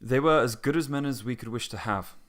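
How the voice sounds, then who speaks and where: A man's voice: mid-range voice, brisk, fairly bright tone, close to an everyday voice. A man playing a distillery witness, formal and matter-of-fact, giving testimony in an audio drama. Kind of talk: scripted audio drama acting.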